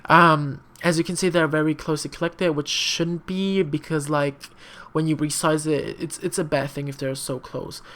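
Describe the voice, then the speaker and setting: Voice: medium pitch, flat delivery, normal speed, few pauses, fairly bright tone. A young adult whose first language is not English, talking through a casual screen-recorded tutorial in a rambling, chatty way, with many fillers and frequent apologies. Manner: frank, slightly sassy asides. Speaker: tutorial maker.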